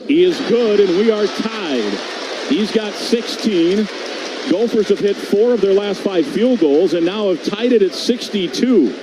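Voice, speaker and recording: High-voiced man calling basketball play-by-play. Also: animated, unhurried. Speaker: play-by-play sports commentator. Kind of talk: live radio basketball play-by-play commentary. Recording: clear background noise.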